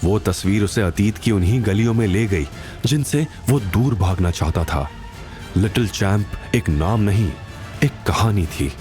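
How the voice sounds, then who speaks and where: Deep, expressive male drama narrator. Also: a little slow, faint music behind. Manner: expressive, suspenseful delivery. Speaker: narrator of an audio drama series. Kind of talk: dramatic scripted story narration.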